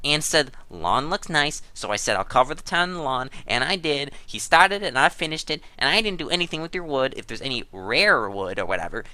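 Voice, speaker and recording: An indignant, defensive gamer , performed by a man in a dramatic reading. The voice is sharp and very animated, recorded dry and close. He sounds whiny and insistent. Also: mid-range voice, no room sound. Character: defensive, aggrieved male gamer protesting his innocence. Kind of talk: dramatic reading of a gaming chat log.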